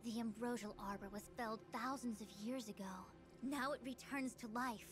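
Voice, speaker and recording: A woman's voice: on the high side, steady tone, normal speed, natural voice. A woman playing a proud, exacting young master diviner and commander in a video game, speaking formally and imperiously. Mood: grave, resolute. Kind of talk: scripted game dialogue, English dub. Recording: game music behind the voice. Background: background game music.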